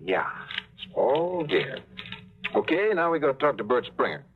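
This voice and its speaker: An actor playing a cynical, wisecracking American adventurer who also narrates, with a breezy hard-boiled delivery, on a 1950s radio drama. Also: male voice, mid-range voice, very animated, unhurried. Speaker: narrator of the story. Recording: muffled 1950s radio broadcast with music.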